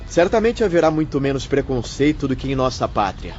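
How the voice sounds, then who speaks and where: A man's voice: medium pitch, sing-song, fairly quick, a little mellow, natural voice. An actor playing a kindly, hopeful male medium who gives formal counsel in an audiobook drama. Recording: dull audio with faint music.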